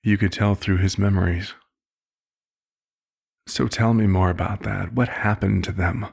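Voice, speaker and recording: Male actor as fatherly vampire doctor for ASMR roleplay: low-pitched, gravelly, measured and formal, concerned therapist temperament. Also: steady tone, darkish tone, full character voice. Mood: remorseful, reflective.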